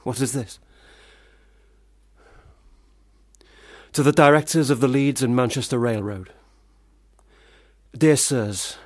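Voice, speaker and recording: An actor in a radio play, a man, playing a failed, self-pitying young gentleman writer with formal, wordy diction. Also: medium pitch, dry recording, studio quality, lightly acted. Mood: pompous, morose. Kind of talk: radio play monologue and dialogue.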